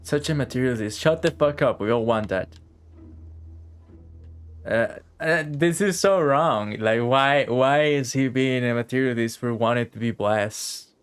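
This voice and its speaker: A man's voice: medium pitch, unhurried, smooth. Man, game streamer, casual live commentary, mid-range voice, sweary and reactive, faint game music behind. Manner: profane, reactive, talks to game and viewers.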